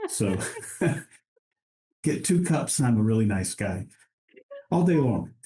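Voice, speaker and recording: A man, a guest on an interview podcast, talking in a relaxed way with frequent restarts and easy laughs. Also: lowish voice, slow pace. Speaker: guest, international trade director. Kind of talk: casual interview conversation.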